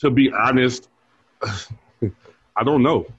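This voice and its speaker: A man's voice: medium pitch, very slow, slightly rough, dry recording, muffled recording. A man in his forties, a bar manager, chatting casually as an interview guest and telling stories with frequent laughs. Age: 40s. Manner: easygoing storyteller who laughs often.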